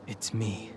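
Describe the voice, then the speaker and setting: An actor playing a serious, earnest young hero in a video game, reserved and terse. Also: male voice, a little mellow. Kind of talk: understated game character acting.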